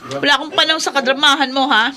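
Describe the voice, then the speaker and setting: A woman's voice: high-pitched, quick pace, sharp tone. A woman playing a haughty, impatient, short-tempered woman who scolds everyone in a radio drama. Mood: bossy, impatient.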